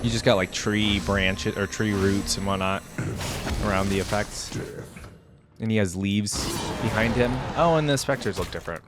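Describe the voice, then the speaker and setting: A man's voice: fairly low pitch, moderate pace, over music. A man giving casual, off-the-cuff reaction commentary as a video host, thinking aloud in an informal, exclamatory way.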